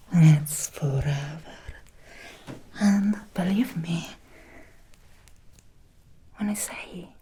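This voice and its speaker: A woman playing an obsessed, seductive Victorian vampire in an ASMR roleplay, whispering softly and slowly in an intimate, breathy voice. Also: low-pitched, full character voice. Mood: possessive. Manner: slow, intimate, deliberate with long pauses. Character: obsessed, seductive Victorian female vampire, hungry yet restrained.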